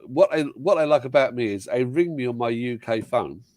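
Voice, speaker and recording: An older man from the UK hosts a casual live-stream chat, blunt and quick to swear, tripping over his own restarts. His voice sits at a middling pitch, and the sound is dry and close. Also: lively intonation, a little mellow, no room sound. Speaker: host.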